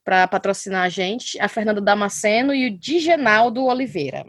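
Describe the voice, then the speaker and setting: A woman co-hosting a podcast, running through listener shout-outs. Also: medium pitch, lively intonation. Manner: quick interjections, reads shout-out lists. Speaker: podcast co-host. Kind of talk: casual chat.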